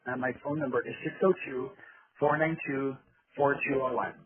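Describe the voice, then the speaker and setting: A man's voice: medium pitch, fairly even intonation, normal speed. A man giving an informal, rambling presentation over a conference call, often checking in with tag questions. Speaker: advocacy group chair giving a presentation. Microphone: telephone conference call. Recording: narrow tinny phone line, chatter behind.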